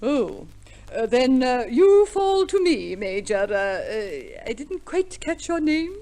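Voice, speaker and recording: Prim gushy lady in an old radio comedy, high swoopy voice, coy and chatty. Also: very animated, takes it slowly, mellow, full character voice. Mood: fussy, dignified. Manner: affected refinement, coy, garrulous. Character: genteel, fussy, chatty professional divorce co-respondent lady, prim. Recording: vintage radio play recording.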